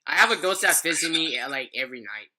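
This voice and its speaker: A man's voice: a little high, expressive, quick pace, sharp tone. A man chatting casually as the host of a podcast, on a slightly noisy voice chat with clicks.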